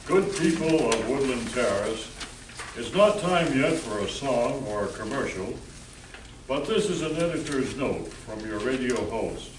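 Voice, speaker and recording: A man's voice: medium pitch, animated, a little slow. A man hosting an amateur radio play, reading scripted announcements to a live room. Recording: echoey room, distant mic, faint noise.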